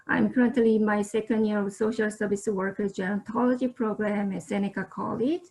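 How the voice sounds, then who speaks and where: A woman's voice: mid-range voice, fairly even intonation, a little fast, a little mellow, low-quality recording. A young woman from South Korea giving a slideshow lecture, speaking carefully and steadily with some fillers. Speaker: student presenter.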